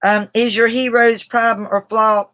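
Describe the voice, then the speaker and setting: A woman with a Southern drawl talks loosely and off the cuff, her voice bright and clear. She rambles a bit and breaks off to greet viewers by name in an easygoing livestream chat. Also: a little high, level tone, a little slow. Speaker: writer discussing a book on a livestream. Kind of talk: casual livestream talk. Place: outdoors.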